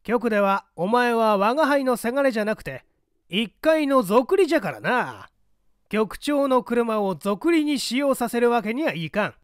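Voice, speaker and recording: A man voicing a pompous, brisk older government chief and bluff father with old-fashioned gentlemanly speech in an audiobook story. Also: extremely high pitch, sing-song, clear, resonant tone, dry recording, clean studio sound, voice pushed up. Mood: jovial, blustering.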